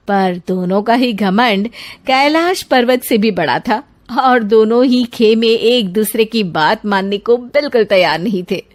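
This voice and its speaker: A woman reading scripted narration for a moral story as its narrator. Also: a little high.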